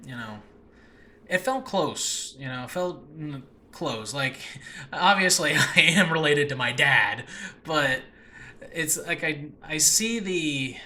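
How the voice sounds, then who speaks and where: Man, very bright sharp voice, average pitch, casual podcast chat, enthusiastic, rambling, self-interrupting, slightly noisy home recording. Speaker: podcast host, writer and voice actor of audio dramas. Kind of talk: casual unscripted solo podcast talk. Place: room in a new home, no regular recording space. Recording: faint background noise, makeshift home recording space.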